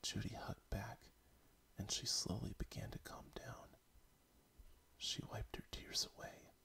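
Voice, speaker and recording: A man reading a romantic animal story aloud in a soft whisper. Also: fairly low pitch, flat delivery, unhurried, creaky. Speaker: story reader.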